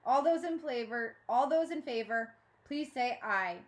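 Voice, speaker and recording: A woman voicing a brisk, impatient female mayor in a storybook, formal and projecting like an official. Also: fairly high pitch, lively intonation.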